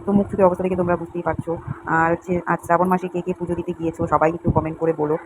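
A woman's voice: fairly low pitch, flat delivery, very fast. A young woman chatting to viewers in a run-on, repetitive way as she narrates a casual home vlog. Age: young adult. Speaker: home vlogger. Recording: clearly noisy home recording, uneven volume.

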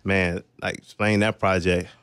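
A man's voice: low voice, flat delivery, measured pace, fairly bright tone, clear, resonant tone, dry recording. A young man hosting an informal, slangy interview at a studio microphone. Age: young adult. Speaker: radio host, interviewer. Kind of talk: casual radio interview. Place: radio studio with equipment racks. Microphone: close studio broadcast microphone.

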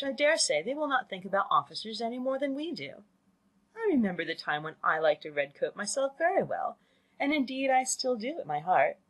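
Woman putting on a silly scheming middle-aged mum, gushing, pitched up and swooping all over, storybook style. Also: a bit bright, slightly rough, full character voice, rough recording. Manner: gushing, eager, emphatic.

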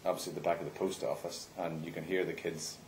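A man's voice: deep voice, level tone, quick pace, a little mellow, slight background noise, low-quality recording. A man who helped organise an event chats casually and enthusiastically, reminiscing with tag questions. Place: at the back of a post office.

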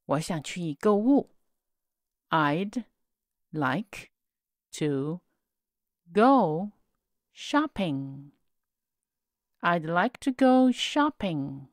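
A woman teaching set phrases in a slow drill, saying each word on its own and then the whole sentence. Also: on the low side, big pitch swings, no room sound. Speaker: English teacher. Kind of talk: slow repeat-after-me drill reading.

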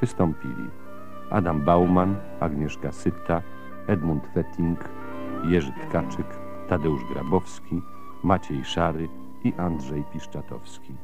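Very deep-voiced male radio announcer. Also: slow. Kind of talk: scripted credits announcement. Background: music.

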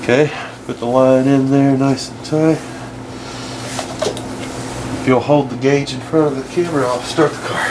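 A man giving a casual, plain-spoken, halting hands-on demonstration as a DIY car repair host, working over an engine bay. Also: mid-range voice, fairly even intonation. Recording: clear engine-bay noise and clatter.

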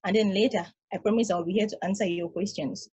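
A woman's voice: mid-range voice, steady tone, quick pace, darkish tone, breathy edge. A woman reading the part of a weary space probe who keeps the peace, tired and placating, at a video-call table read. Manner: tired, placating, then exasperated.

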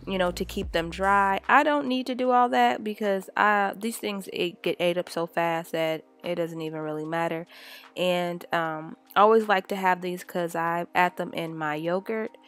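Chatty low-voiced mom over soft music. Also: female voice, normal speed. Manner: chatty and relaxed, many fillers. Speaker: vlogger, mom cooking for her kids. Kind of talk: casual cooking voice-over.

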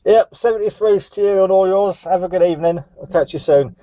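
A man's voice: sits high, brisk, dark tone, dry recording. A man chatting and calling for contacts as a CB radio operator. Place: static vehicle on a seafront headland. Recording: dull lo-fi CB radio recording.

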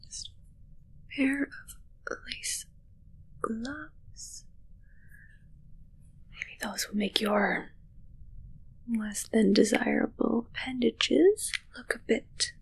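A young woman playing a mischievous, fussy witch in a breathy, teasing whispered ASMR roleplay. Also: a little high, animated, extremely slow, long pauses, exaggerated voice, low-quality recording. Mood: fussy, disdainful. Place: small indoor set with curtains. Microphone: close ASMR microphone.

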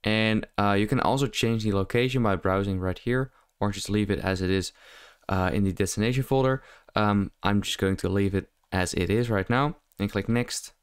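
A man giving a casual software tutorial voice-over in a loosely improvised, hesitant way, close to the microphone. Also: fairly low pitch, moderate pace, smooth, dry recording. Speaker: tutorial host. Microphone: close mic, screen-recording voice-over.

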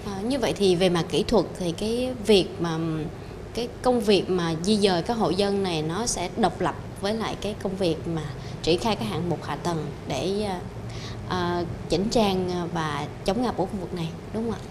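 A woman's voice: lowish voice, moderate pace, background noise. A young woman hosting a formal interview, asking polite, deferential questions. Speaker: interviewer host. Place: studio office set. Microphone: handheld mic.

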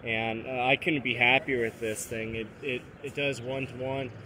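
A man giving a casual technical show-and-tell of his own gear, matter-of-fact and quietly proud. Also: medium pitch, little pitch movement, normal speed. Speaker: truck owner showing his CB radio setup. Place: parking lot, in and around a pickup truck. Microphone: handheld phone or camera. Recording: noisy handheld outdoor and vehicle recording.

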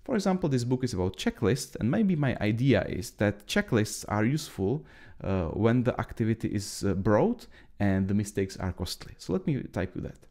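This Czech-accented man in his thirties talks through his on-screen notes in English, his second language, pausing often on fillers and restarting sentences. His voice is mellow and warm in tone, and his pitch rises and falls in a lively way. He sounds close to a webcam mic. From Czech Republic. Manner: halting, many fillers and restarts. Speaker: trainer. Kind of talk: screen-share tutorial.

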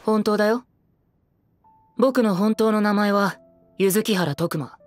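A teenage boy idol with a light, high, androgynous voice. He is cheeky and theatrical, with bitterness and self-mockery underneath, in audio drama style over music. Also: fairly even intonation, takes it slowly, dry recording. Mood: melancholy, resigned. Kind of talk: Japanese audio drama acting.

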